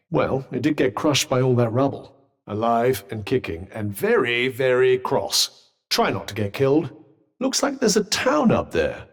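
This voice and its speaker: A man's voice: medium pitch, breathy edge, long reverb tail, full character voice. A man with a British accent playing a sardonic, pompous magical talking vambrace in a video game, speaking in formal, wordy phrases with dry wit. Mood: dry, urging. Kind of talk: video game character dialogue.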